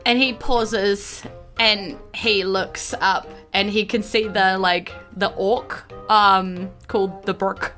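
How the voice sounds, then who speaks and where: A woman's voice: mid-range voice, steady tone, takes it slowly, sharp tone. A woman, narrating a tabletop game as game master in a casual, chatty, giggly way. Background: faint background music.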